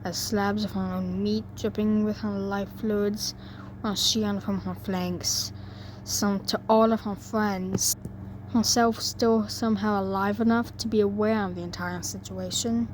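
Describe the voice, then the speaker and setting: A woman's voice: medium pitch, fairly even intonation, a little slow. Woman reading a story, some background noise. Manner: stumbles over words and rereads. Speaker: fan fiction reader. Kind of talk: amateur story narration. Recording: noisy amateur home recording.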